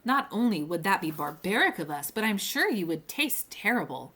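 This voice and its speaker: A woman's voice: medium pitch, big pitch swings, normal speed, fairly bright tone, breathy edge, exaggerated voice. A woman acts out a small male pterodactyl from a storybook. He is genteel and fussy, forever courteous and easily affronted. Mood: earnest, affronted. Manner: fussy, old-fashioned genteel politeness.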